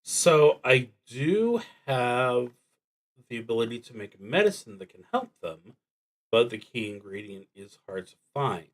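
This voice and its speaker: A man streaming a solo tabletop roleplaying game, narrating and thinking aloud in a halting, deliberate way with long pauses. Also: mid-range voice, sing-song, poor audio.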